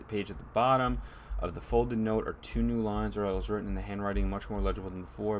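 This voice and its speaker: Male game streamer: lowish pitch, laid-back and slangy, casual reactions and read-alouds, faint noise.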